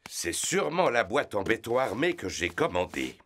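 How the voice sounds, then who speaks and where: Jovial, wildly expressive cartoon pig dad. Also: male voice, on the low side, moderate pace, full character voice. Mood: cheerful. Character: good-natured, food-loving cartoon pig dad. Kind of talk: animated preschool cartoon dubbing.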